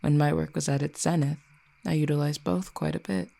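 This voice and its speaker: A performer voicing an ancient, possessive cupid in an audio roleplay, speaking in a haughty, deliberate, teasingly menacing way. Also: mid-range voice, fairly even intonation, vocal fry, mellow. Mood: intrigued, condescending. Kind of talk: scripted audio roleplay, acted monologue.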